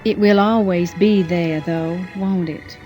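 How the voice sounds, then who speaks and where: A woman's voice: fairly low pitch, fairly even intonation, slow, over music. A woman acting a poised, teasing, romantic mature character in a soap-opera audio drama. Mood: wistful.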